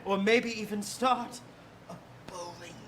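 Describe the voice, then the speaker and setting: Bright, forceful woman as gloating villain. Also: medium pitch, a little slow, natural voice. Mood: smug, gloating. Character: gloating, grandiose tyrant villain with petty hobbies. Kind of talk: comic stage villain acting.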